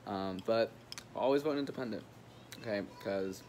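A man's voice: on the low side, normal speed, darkish tone, slight background noise. A young man joking around in a casual vacation vlog, filmed on a phone at arm's length at a beach house. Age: young adult. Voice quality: joking, goofy manner. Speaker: vlogger. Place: beach house and shore outdoors. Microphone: phone camera at arm's length.

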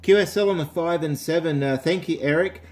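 A man's voice: a little high, brisk. A man with an Australian accent chatting casually as an amateur radio operator, indoors at his set. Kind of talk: casual ham radio contact.

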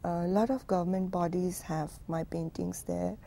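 Musing woman with a low, mellow voice. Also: steady tone, creaky, slight background noise. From India. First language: Hindi. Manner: slow, musing, halting with restarts. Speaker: guest painter and poet. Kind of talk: reflective studio interview answers. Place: TV studio set with sofa.

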